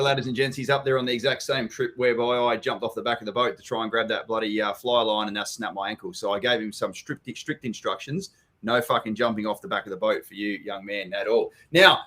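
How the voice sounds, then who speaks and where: A man's voice: mid-range voice, sharp tone. An Australian man co-hosting a casual live online chat, talking in an informal, blokey way and swearing freely. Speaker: co-host of a property live Q&A, company general manager. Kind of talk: casual live chat, reading out viewer comments. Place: live online video stream.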